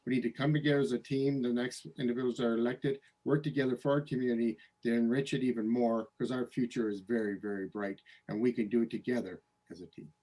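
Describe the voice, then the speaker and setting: A man's voice: mid-range voice, fairly even intonation, quick pace, few pauses, mellow, low-quality recording. A man, giving an upbeat, rallying candidate speech. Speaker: council candidate.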